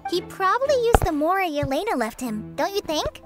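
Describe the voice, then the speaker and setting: Tiny inquisitive fairy sidekick, very high squeaky child voice, anime game, music clearly behind. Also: female voice, big pitch swings, fairly quick. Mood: chatty, knowing. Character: small childlike fairy companion, chatty and inquisitive.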